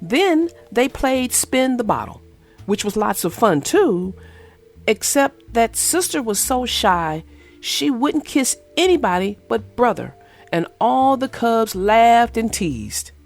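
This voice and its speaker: A woman reading a children's picture book aloud in an expressive, sing-song storytelling manner. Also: a little high, slow pace, soft background music. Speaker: storybook reader. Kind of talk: children's read-aloud narration.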